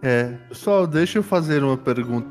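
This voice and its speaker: Brazilian game master with faint music behind. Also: male voice, mid-range voice, animated, normal speed. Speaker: game master and podcast host. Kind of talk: casual banter.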